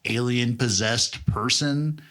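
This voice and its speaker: A man's voice: medium pitch, takes it slowly, a bit bright. A man co-hosting a casual comedic podcast, talking in a rambling, slangy, profane way. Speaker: podcast co-host.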